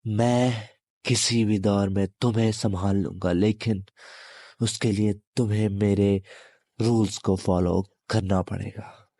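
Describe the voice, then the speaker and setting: Low, slow-talking possessive demon played by a man. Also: fairly even intonation, warm tone, no echo, clean studio sound. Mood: possessive, commanding. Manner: slow, taunting, halting delivery with long pauses. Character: menacing, possessive male demon, captive turning predator. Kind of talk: intimate audio roleplay monologue addressed to listener.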